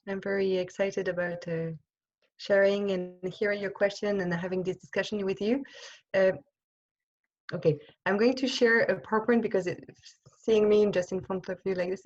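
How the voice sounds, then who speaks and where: A French-accented woman, a religious sister from France whose first language is French, giving an informal talk to an online group on a video call and often pausing to search for words. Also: mid-range voice, darkish tone, highs cut off. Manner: hesitant, searching for words, many pauses and restarts. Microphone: computer microphone on a video call.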